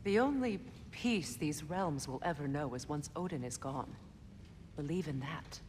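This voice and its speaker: An actress voicing a grieving, resolute goddess who speaks quietly and firmly in a video game. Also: female voice, sits low, expressive, a little slow, in a large hall, background noise. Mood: grim. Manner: quiet, firm, grave. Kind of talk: video game cutscene acting.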